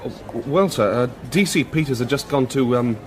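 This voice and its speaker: A man's voice: mid-range voice, normal speed, lightly acted. Male actor as eager, stumbling British constable, mid voice, faint street noise. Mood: flustered. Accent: British English. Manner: hesitant, stumbling. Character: eager, nervous young male constable on stakeout. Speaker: police constable.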